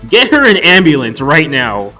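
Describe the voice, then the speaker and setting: A man's voice: on the high side, huge pitch swings, moderate pace, pushed and bright, soft background music. A man narrating a casual, joke-filled video review in a wry, profane, mock-exasperated way. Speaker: movie reviewer.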